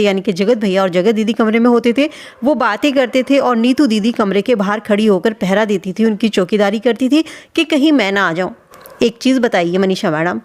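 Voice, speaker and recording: A woman's voice: on the high side, a little mellow. A woman hosting a video channel, giving gossip commentary in a reproachful, questioning way, addressing people directly. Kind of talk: spoken commentary on vlogger gossip.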